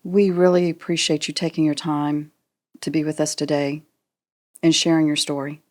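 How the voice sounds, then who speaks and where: Low-voiced woman co-hosting an interview. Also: little pitch movement, normal speed, a little mellow. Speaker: podcast co-host.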